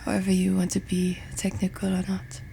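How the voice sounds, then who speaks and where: A woman playing a pensive French roommate in an intimate ASMR ramble, with a French accent and a soft, breathy, hesitant delivery. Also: medium pitch, completely flat delivery, fairly quick, a little hiss or hum, natural voice. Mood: musing, gentle. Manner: hesitant, musing, self-deprecating. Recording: music behind the voice. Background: music and summer ambience.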